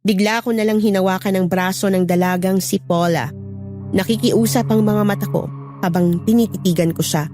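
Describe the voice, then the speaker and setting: A woman's voice: mid-range voice, steady tone, normal speed, warm tone, faint music behind, lightly acted. A woman reading scripted first-person horror narration as a storyteller.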